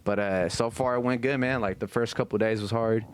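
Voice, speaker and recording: A man's voice: fairly low pitch, fairly even intonation, fairly quick, slight background noise. A man talking casually as a podcast co-host, with slangy, profane speech and frequent "you know what I mean" tags.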